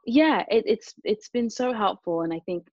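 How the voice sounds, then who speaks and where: A woman from Japan and Australia, a native Japanese speaker, talking earnestly and reflectively as an interview guest, in a calm, hesitant way. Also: medium pitch, normal speed, dry recording, lo-fi sound.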